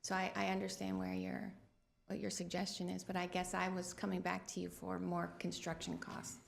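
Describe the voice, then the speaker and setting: A woman applying for a grant, speaking softly and hesitantly at a public meeting microphone, with many pauses and fillers. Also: fairly low pitch, monotone, creaky, slight background noise. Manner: soft-spoken, hesitant, many ums. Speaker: grant applicant. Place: city council chamber.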